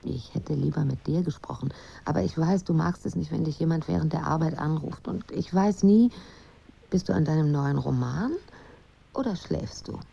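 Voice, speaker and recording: Dark, husky, pensive wife. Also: female voice, deep voice, moderate pace, muffled recording, heavily acted. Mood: pensive, confiding. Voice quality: husky, breathy. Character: wounded, pensive married woman writing to her father. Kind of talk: radio drama monologue.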